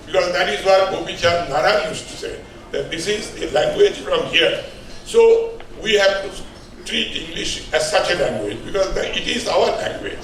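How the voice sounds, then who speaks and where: An older man with an Indian accent addresses an event in a very reverberant room, picked up at some distance from the microphone. His voice sits a little high for a man. He speaks emphatically and discursively, drifting from formal speech into asides. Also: fairly bright tone. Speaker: publisher and book editor giving a launch speech. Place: indoor event hall at a hotel. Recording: echoey hall, far from mic, faint noise.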